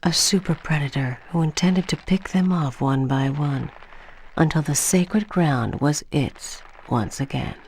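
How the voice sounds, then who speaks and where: An actress playing a grave game narrator who tells a dark hunting tale in solemn, dramatic narration. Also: female voice, sits low, a little slow, a little hiss or hum, rough recording. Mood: ominous. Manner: solemn, ominous storytelling. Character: grave adult woman narrator recounting a hunter's bloody tale. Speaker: story narrator.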